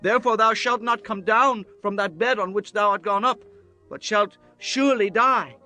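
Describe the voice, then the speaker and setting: A man plays an earnest, deferential follower in a biblical audio drama. The voice is very high for a man and bright, with formal archaic phrasing and faint music behind it. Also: moderate pace. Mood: earnest reporting. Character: earnest, deferential men: messengers, pleading captain, loyal disciple. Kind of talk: dramatized scripture reading.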